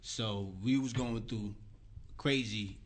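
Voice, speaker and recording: A man's voice: lowish voice, fairly even intonation, slow. A man from Liberia raised in Brooklyn, talking as a musician guest in a casual radio interview, explaining things in slangy, conversational terms. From born in Liberia, raised in Brooklyn.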